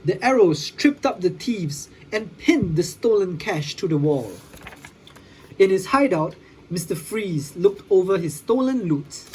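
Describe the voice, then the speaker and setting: A storybook reader giving a dramatic, expressive read-aloud for children. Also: medium pitch, a little slow, slight background noise, rough recording. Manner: dramatic, with sound effects.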